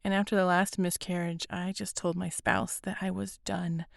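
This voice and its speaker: A woman hosting a podcast, speaking earnestly and gently with a raspy, hoarse voice. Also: on the low side, little pitch movement, normal speed, dry recording, studio quality. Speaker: podcast host. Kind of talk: scripted podcast intro and interview.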